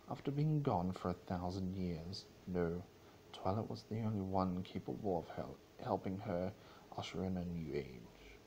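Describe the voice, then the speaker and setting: A man narrating a story as a dramatic reading, in a solemn and somewhat halting way. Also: low voice, mellow, a little hiss or hum, low-quality recording.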